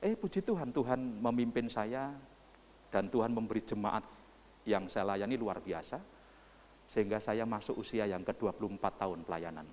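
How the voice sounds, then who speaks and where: Pastor preaching in a reverberant hall. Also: male voice, fairly low pitch, normal speed, a little mellow, a little hiss or hum. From Indonesian village. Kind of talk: sermon with congregational interaction. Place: church hall before a congregation. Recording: long reverberant hall echo.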